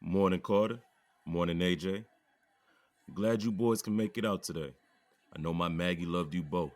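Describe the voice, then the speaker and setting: An actor playing a widowed police chief speaking warmly and wearily at his wife's funeral. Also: deep voice, moderate pace, no echo, close to an everyday voice. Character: grieving widowed police chief, older man.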